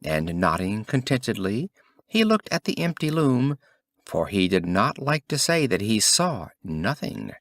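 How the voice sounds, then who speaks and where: A man reading a scripted fairy tale as an audiobook narrator, in an expressive, theatrical storytelling manner. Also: lowish voice, a little slow, dry recording.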